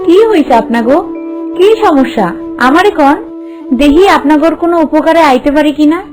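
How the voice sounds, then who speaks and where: High-pitched humble young Bangladeshi queen, acted by a woman. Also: normal speed, over music, natural voice. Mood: caring, decisive. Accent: rural Bangladeshi. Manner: plain-spoken, colloquial. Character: humble young housemaid made queen, kind and decisive. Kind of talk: cartoon voice acting.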